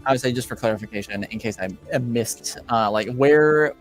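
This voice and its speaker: A man running a tabletop roleplaying game as game master, improvising casual narration and questions with thoughtful, halting phrasing. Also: mid-range voice. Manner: halting, pauses to think, brief affirmations. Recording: music under voice. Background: background music.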